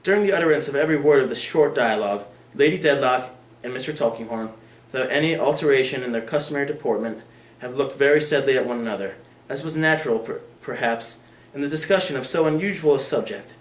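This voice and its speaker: A man's voice: medium pitch, fast talker, recorded from a distance. A teenage boy giving an earnest dramatic reading of a classic novel, with occasional slips. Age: late teens. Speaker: student reader. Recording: echoey room.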